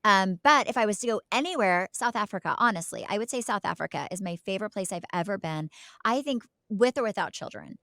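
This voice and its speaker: A woman's voice: mid-range voice, expressive, a little fast, bright, forceful tone, no room sound, studio quality. A woman co-hosting a podcast in casual, chatty style, emphatic and given to repeating words for stress. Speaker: podcast co-host. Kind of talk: casual chat.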